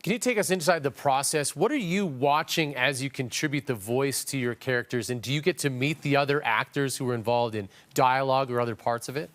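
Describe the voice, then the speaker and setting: A man's voice: mid-range voice, brisk, hardly any pauses, fairly bright tone. A man hosting a broadcast interview with a polished presenter's delivery. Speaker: TV news interviewer.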